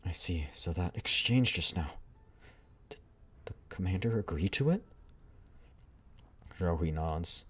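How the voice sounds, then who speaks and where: Guy doing a quiet worried big sis from a game, low and soothing. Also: animated, a little slow, long pauses, husky, heavily acted.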